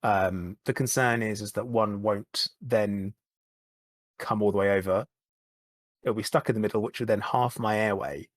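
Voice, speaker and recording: A man, a podcast guest, talking candidly in a halting way, often repeating words and starting over. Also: on the low side, steady tone, no echo. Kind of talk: candid conversational chat.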